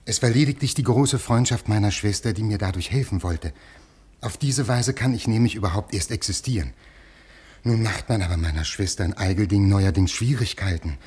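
A man's voice: fairly low pitch, a little fast, darkish tone, breathy edge. An actor playing an anxious scholarly man who pleads and over-explains earnestly, in a 1950s German radio play. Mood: nervous, earnest. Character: anxious, pleading, scholarly male literary historian.

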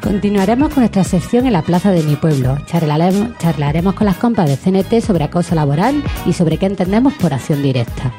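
A woman's voice: lowish voice, animated, brisk, hardly any pauses, music in the background. A woman with an accent from Spain presenting a radio magazine show from a script. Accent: Spanish from Spain.

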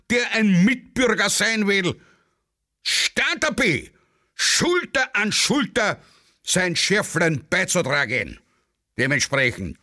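A man's voice: sits high, gravelly, sharp tone, exaggerated voice. A man playing a muddled, pompous patriot in a radio play, declaiming a rabble-rousing speech with a Viennese accent. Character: Viennese male street orator, bombastic muddled patriot.

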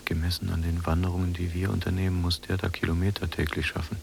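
A bitter, haunted prisoner in a radio play. His delivery is flat and brooding, with extreme gravelly fry. A faint hum lies under the recording. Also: low-pitched, quick pace. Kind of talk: literary radio play acting.

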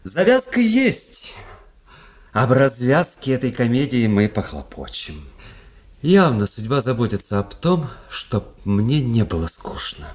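An actor playing a cool, ironic, bored young officer in a radio play, speaking in a measured aristocratic way. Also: male voice, mid-range voice, sing-song, darkish tone, slightly rough. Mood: cool irony. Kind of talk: radio drama acting.

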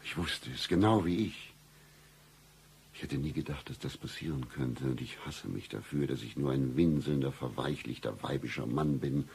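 An actor playing a broke, seedy private detective in a satirical radio play, with florid flirtatious patter and deadpan humour. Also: male voice, a bass voice, moderate pace, vocal fry, slight background noise. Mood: florid, seductive.

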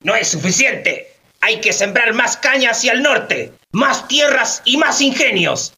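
An actor playing a smug, ruthless colonial European sugar merchant in a satirical radio drama, haughty and mock-courteous. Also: male voice, very high-pitched, animated, a little slow, sharp tone, distant mic, exaggerated voice. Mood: greedy, calculating.

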